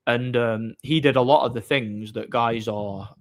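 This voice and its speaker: A man hosting a livestream, chatting casually and off the cuff in a blunt, opinionated, somewhat halting way. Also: medium pitch, fairly even intonation. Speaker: livestream host. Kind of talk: casual off-the-cuff chat, answering viewer comments.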